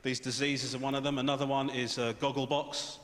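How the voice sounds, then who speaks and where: A man with a British accent doing a stand-up comedy set on a theatre stage, telling stories in a dry, deadpan way. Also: mid-range voice, brisk, noisy background. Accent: British English. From Sri Lankan heritage. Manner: dry, deadpan storytelling with lively swings. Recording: roomy theatre with loud audience laughter. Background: audience laughing.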